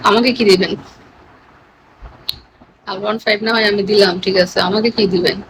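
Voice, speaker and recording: A woman's voice: medium pitch, fairly even intonation, a little fast, background noise. A woman with a Bangladeshi accent chatting casually with live stream viewers in a playful, teasing manner.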